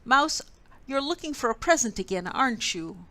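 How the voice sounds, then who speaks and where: A woman's voice: fairly high pitch, animated, moderate pace, sharp tone, voice pushed up. A woman voicing a stern, grumpy male bear in a storybook, pompous and scolding as he declaims.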